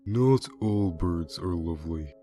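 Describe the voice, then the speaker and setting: A laid-back skeleton grim reaper acted by a man for a fan comic dub. He is very deep and dark in tone and takes his time, drawling wry, morbid puns with a deadpan air. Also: takes it slowly, faint music behind. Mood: wry, teasing. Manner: dry, deadpan, drawling pun delivery. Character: laid-back skeleton reaper, wry pun-loving male, darkly teasing.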